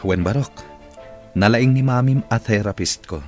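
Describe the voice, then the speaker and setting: A man's voice: mid-range voice, normal speed, faint music behind, close to an everyday voice. A man with an Ilocano accent acting in a radio drama as a weary, hopeful wealthy employer who is in therapy. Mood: fond.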